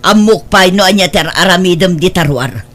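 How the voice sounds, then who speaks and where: A woman's voice: on the low side, normal speed, a bit bright, natural voice. A woman acting a nagging, strict middle-aged wife and mother in an Ilocano comedy drama, with an Ilocano accent and a scolding manner. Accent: Ilocano, northern Philippines.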